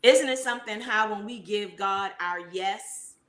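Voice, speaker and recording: A woman's voice: medium pitch, a little slow, fairly bright tone. An exuberant woman hosting and interviewing on a live show, often repeating phrases for emphasis. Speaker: host of a live web show. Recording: roomy echo.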